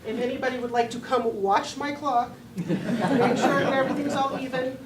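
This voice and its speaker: A woman's voice: sits high, normal speed, some chatter behind. A woman timekeeper informally explaining the rules at a public forum. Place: meeting room at a party headquarters. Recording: echoey room, distant mic, clear noise.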